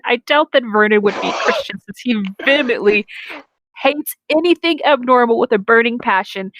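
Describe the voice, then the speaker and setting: A woman's voice: a little high, fairly bright tone, no echo, rough recording. A woman reading reviews aloud in an informal, casual way, stumbling now and then and correcting herself.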